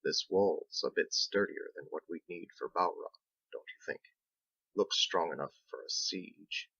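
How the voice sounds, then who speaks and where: Captain, a man voicing a low, gravelly seafarer. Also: unhurried, bright, forceful tone, dull audio, heavily acted. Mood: thoughtful, wary. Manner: formal, old-fashioned nautical phrasing. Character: Captain, measured, thoughtful seafaring man, wary and principled. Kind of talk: audiobook character dialogue.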